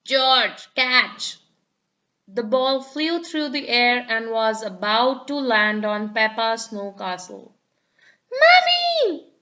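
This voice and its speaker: A woman reading a picture book aloud to small children in an animated, deliberate way, on a slightly dull recording. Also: a little high. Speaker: storybook reader. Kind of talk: expressive read-aloud narration for small children.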